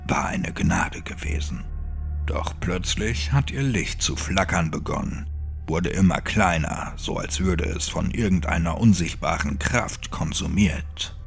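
A gloomy, fatalistic monk, played by a male narrator, speaks in a very low, gravelly hush. His delivery is slow and grave, like one resigned to the end, with music underneath in a horror audiobook. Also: heavily acted. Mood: wistful, grave. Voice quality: dark, hushed, breathy. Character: nameless monk, dark-voiced, resigned, gloomy keeper of secrets. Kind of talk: dramatic audiobook character acting.

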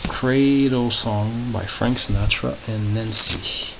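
Low-voiced man, muffled noisy recording. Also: fairly even intonation, slow pace, vocal fry. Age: middle-aged. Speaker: record collector showing his collection. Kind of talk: casual off-the-cuff commentary. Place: small tiled indoor room by storage crates. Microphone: handheld camera held by the speaker. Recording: muffled, noisy low-grade camera audio with clicks.

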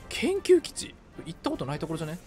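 Lively higher-voiced man streaming a game. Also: fast. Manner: excitable, reacts aloud to the game while playing. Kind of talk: casual co-op gaming chat. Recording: game music behind the voice. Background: video game music.

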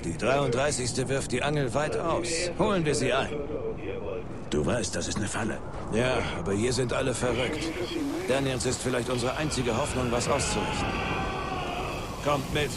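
An actor playing a grim, determined special forces squad leader in a dubbed video game. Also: male voice, medium pitch, moderate pace, pauses often, very noisy, lightly acted.

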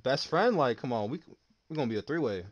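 A young man from New Jersey talks in loose, playful banter. His voice is of middling pitch but swings up and down a lot as he teases. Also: expressive, fast, dull audio.

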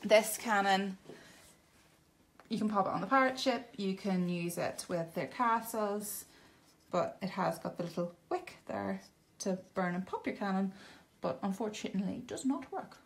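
A woman's voice: medium pitch, lively intonation, moderate pace. A woman, enthusiastically demonstrating wooden toys in a toy shop in casual chat. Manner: enthusiastic, upbeat, laughs often. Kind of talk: casual product demo chat.

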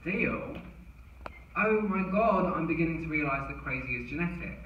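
An actor playing a sardonic, exasperated young brother at a theatrical table read. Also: male voice, on the high side, animated, normal speed, lo-fi sound, slight background noise, lightly acted. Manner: incredulous, theatrical. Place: cinema stage before an audience. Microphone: stage mic, captured from far in audience. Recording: distant echoey theatre recording.

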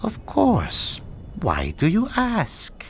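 A man's voice: high-pitched, wildly swooping pitch, extremely slow, gravelly, faint music behind, heavily acted. A man voicing an ancient, serene tortoise kung fu master in a video game, solemn and wise with a touch of whimsy. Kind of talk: animated video game voice acting.

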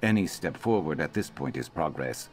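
An actor playing a grim, determined former slave companion in a video game, measured and earnest with dry humour. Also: male voice, rumbling low, expressive, faint music behind. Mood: grave, resolute.

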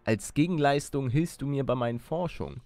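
A man voicing a courteous, scheming duck scientist in a video game, speaking in formal, measured phrases. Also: mid-range voice, darkish tone, dry recording, close to an everyday voice. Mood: businesslike. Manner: formal, measured, deliberate wording.

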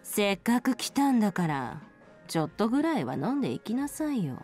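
An actress playing a proud, casual, teasing big sister who tends a bar, in a video game drama. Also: female voice, mid-range voice, sing-song, brisk, darkish tone, faint music behind, natural voice.

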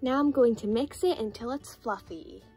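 A cheerful doll girl, played by a woman, speaks in a fairly high voice over music. She is sweet and enthusiastic, explaining things step by step like the host of a toy play video. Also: moderate pace, mellow, slightly rough. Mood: cheerful, instructional. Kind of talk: scripted doll play narration.

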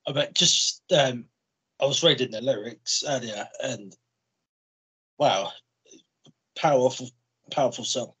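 A man's voice: medium pitch, unhurried, pauses often, a bit bright, breathy edge, poor audio. A young man hosting an interview over a video call, speaking hesitantly with lots of fillers. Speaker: radio host interviewer. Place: small room indoors. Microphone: headset on a video call.

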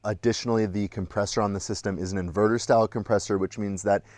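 A man's voice: fairly low pitch, steady tone, quick pace, a little mellow, no room sound. Upbeat man explaining a DIY install. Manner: upbeat, explains technical details plainly. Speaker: DIY home-build vlogger. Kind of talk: casual explanatory vlog narration. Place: attic, outdoor deck and indoor rooms of a barn home. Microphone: handheld action camera close up.

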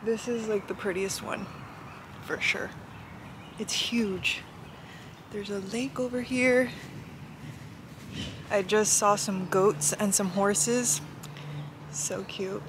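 A woman's voice: mid-range voice, steady tone, slow pace, pauses often. A young woman chatting casually to a handheld camera in an informal way, often letting sentences trail off. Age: young adult. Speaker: vlogger. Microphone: handheld camera at arm's length. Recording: clear background noise, handheld camera.

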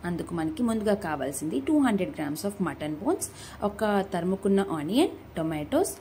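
A woman's voice: lowish voice, warm tone, a little hiss or hum. A young woman with a Telugu accent narrating a cooking recipe in a casual, friendly way, talking to her viewers and mixing in English words. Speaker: cooking vlogger.

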